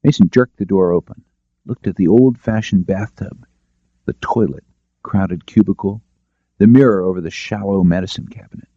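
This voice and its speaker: A man's voice: low-pitched, moderate pace, warm tone, no room sound, dull audio. A man reading a detective novel aloud as a scripted audiobook narration.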